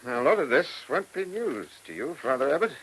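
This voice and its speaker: A chief inspector in a radio drama. His voice is husky and lively, moving freely in pitch, with a dry, testy, sardonic edge as he works a murder case. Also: a little fast, full character voice, rough recording. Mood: thoughtful, businesslike. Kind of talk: radio play acting.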